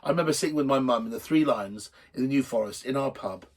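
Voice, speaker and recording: A middle-aged man with a slightly husky voice hosting a drinks show in a rambling, jokey, blunt and sweary unscripted chat to camera. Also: mid-range voice, quick pace. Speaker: host of a drinks video show.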